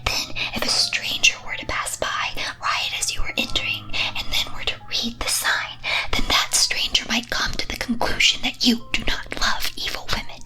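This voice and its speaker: A woman whispers a scripted ASMR monologue in a breathy, teasing, theatrical and deliberate way. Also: high voice, wildly swooping pitch, pushed and bright. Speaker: streamer performing as a character.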